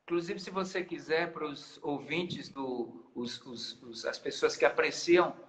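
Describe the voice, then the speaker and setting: A man's voice: fairly high pitch, a little slow, a bit bright, low-quality recording. A man, a guest musician in a casual live-stream interview, explaining patiently and often restarting his phrases. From Maranhão, Brazil. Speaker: guest musician, band founder.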